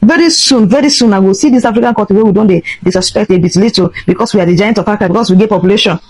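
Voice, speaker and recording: A woman's voice: medium pitch, racing, a little mellow, low-quality recording. A Nigerian woman giving an impassioned, indignant vlog rant, emphatic and slipping between English and Pidgin. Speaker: social media vlogger.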